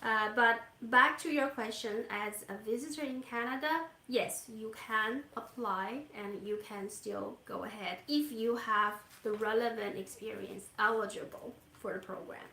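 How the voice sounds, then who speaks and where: Soft-spoken woman immigration consultant. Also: fairly high pitch, measured pace, few pauses, roomy sound, poor audio. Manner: soft-spoken, gentle, with hesitant fillers. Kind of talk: explaining to a live audience. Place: Canada.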